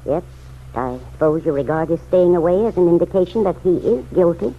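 An actress playing a kindly, steadfast middle-aged farm woman in a vintage radio serial, speaking in a measured, earnest way. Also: fairly low pitch, lightly acted. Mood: concerned, probing. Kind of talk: 1940s radio serial acting. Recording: muffled, hissy vintage radio recording.

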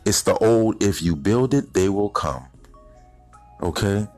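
Male, 30s, deep voice, informal motivational solo podcaster, slangy and direct, soft music underneath. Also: animated, unhurried, no echo. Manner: direct address to listeners, slangy, rhetorical questions. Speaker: podcast host.

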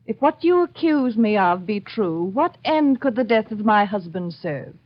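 A woman's voice: mid-range voice, very animated, a little slow. A woman playing a proud, defiant accused widow in an old radio drama, speaking in formal, haughty rhetoric. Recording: dull, lo-fi old radio recording.

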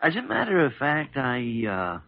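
An actor playing a gruff, meddling older male doctor in an old radio drama, with a gravelly voice and a blustering, grumbling delivery. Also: medium pitch, very animated, moderate pace, close to an everyday voice. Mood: coaxing. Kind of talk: 1940s radio drama acting. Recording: muffled vintage radio recording.

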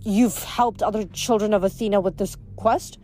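A woman voicing an earnest, easily awed young heroine in a story, halting and exclaiming in astonishment. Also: mid-range voice, steady tone, a little mellow, pitched above the performer's own voice, close to an everyday voice.

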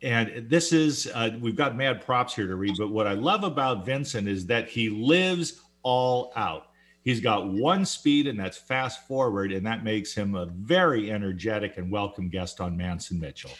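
A man's voice: lowish voice, animated, moderate pace, a bit bright. Enthusiastic man co-hosting a show. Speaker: co-host. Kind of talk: casual radio chat.

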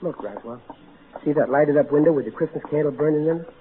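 A man's voice: fairly high pitch, quick pace, faint music behind, lightly acted. An actor playing a big-hearted, blustery middle-aged everyman in old-time radio style. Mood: gentle, somber. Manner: folksy, ungrammatical, earnest, blustery. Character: big-hearted, folksy, exasperated working-class everyman. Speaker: actor in a radio comedy-drama. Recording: narrow tinny vintage radio broadcast, hissy.

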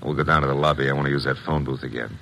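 A streetwise American private detective's assistant in an old radio mystery. His voice is forceful and bright, with a wry, slangy, hard-boiled delivery and the sound of a vintage broadcast. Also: very deep voice, fairly even intonation, very fast. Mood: businesslike, probing. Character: brash, wisecracking male private detective's legman, streetwise and cynical. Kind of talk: classic American radio detective drama acting.

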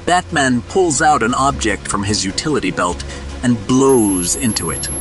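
A man's voice: mid-range voice, animated, normal speed. A man narrating a scripted audio drama in a dramatic storytelling style. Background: music.

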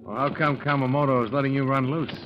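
An actor playing a wry, tough, clipped-talking investigator in an old-time radio detective drama. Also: male voice, medium pitch, fairly even intonation, fast, close to an everyday voice. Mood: wry bargaining. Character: wry, hard-boiled insurance investigator, male, tough and needling. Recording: dull, noisy old radio recording.

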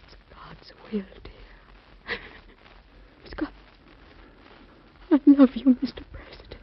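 An actress playing a gentle dying wife in a classic radio drama, speaking in a faint whisper. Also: female voice, sits high, slow pace, noisy background. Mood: tender, fading. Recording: dull vintage radio recording.

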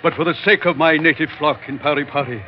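An actor playing a stern, suspicious missionary reverend in old-time radio drama, with a formal, declamatory, accusing delivery. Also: male voice, fairly high pitch, fast talker, natural voice. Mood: indignant, accusing. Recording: muffled vintage radio recording with clear noise.

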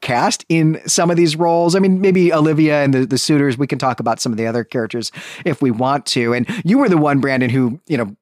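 A man's voice: fairly high pitch, fast, darkish tone, no echo, clean studio sound. A man hosting a podcast in casual discussion, talking in a chatty, enthusiastic, rambling way. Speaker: podcast host.

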